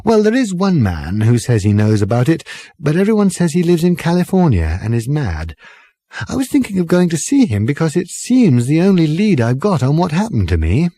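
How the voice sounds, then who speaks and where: A man's voice: fairly high pitch, huge pitch swings, moderate pace, dark tone, dry recording, studio quality, voice pushed up. A man voicing an earnest, troubled young woman who explains things with urgent emphasis. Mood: exasperated, earnest.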